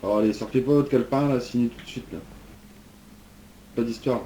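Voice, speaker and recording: Man as a brusque jailer, quick speech, dark mellow tone, scolding, game roleplay. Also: medium pitch, fairly even intonation, a little hiss or hum, lightly acted, poor audio. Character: gruff, impatient male police jailer hurrying a prisoner. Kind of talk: improvised online roleplay.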